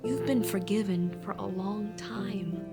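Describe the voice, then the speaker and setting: A woman playing a gentle, forgiving daughter who speaks with comforting wisdom in a family audio drama. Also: medium pitch, unhurried, vocal fry, over loud music. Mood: tender. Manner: soft-hearted, reassuring.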